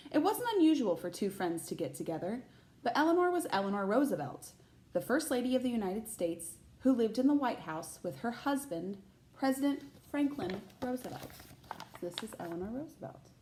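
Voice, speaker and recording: A woman reading a children's picture book aloud like a teacher, pausing to put questions to young listeners. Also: fairly high pitch, expressive, a little mellow, roomy sound, poor audio. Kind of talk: expressive read-aloud of a children's picture book with chatty asides.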